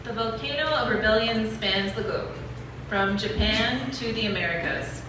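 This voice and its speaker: A woman's voice: fairly high pitch, moderate pace. A woman delivering scripted narration as presenter, introducing readings at an activist event. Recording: echoey hall from far off, clear noise.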